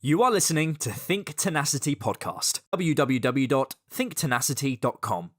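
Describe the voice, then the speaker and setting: A man's voice: on the high side, lively intonation, normal speed, crowd noise, background noise. A man reading a short recorded podcast ident in a punchy announcer style. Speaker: show announcer.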